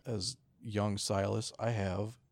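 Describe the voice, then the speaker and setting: A man's voice: on the low side, level tone, slow pace, gravelly, darkish tone, dry recording. A man co-hosting a podcast in casual, sweary conversation. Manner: profane. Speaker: podcast co-host. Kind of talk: casual chat.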